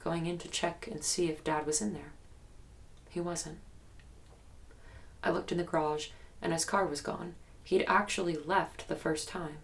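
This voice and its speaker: An actress playing an earnest young woman recounting frightening memories in a halting, guarded, matter-of-fact interview style for an audio drama. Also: sits low, creaky. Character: haunted, earnest young woman recalling childhood terror.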